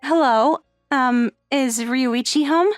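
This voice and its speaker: A woman voicing an earnest, worried high school girl in an anime-style comic dub, with expressive delivery. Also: takes it slowly, no echo, faint music behind. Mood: hesitant.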